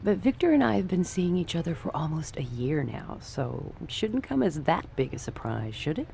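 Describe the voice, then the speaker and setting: An actress playing a lovestruck, trusting nightclub torch singer, coy and theatrical, in the manner of old-time radio noir. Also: female voice, sits low, sing-song, normal speed, creaky, mellow, a little hiss or hum, full character voice. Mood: earnest, uneasy.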